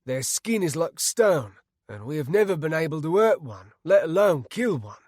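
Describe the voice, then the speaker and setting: A man voicing a gruff middle-aged village leader who talks bluntly and forcefully. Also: fairly high pitch, big pitch swings, normal speed, dry recording, studio quality, voice pushed up. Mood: grave.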